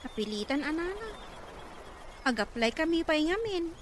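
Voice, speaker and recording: A woman's voice: sits high, sing-song, moderate pace, noisy background. A woman acting an eager young graduate in an Ilocano drama, speaking with an Ilocano accent. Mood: polite, hesitant. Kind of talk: amateur Ilocano radio-style drama acting.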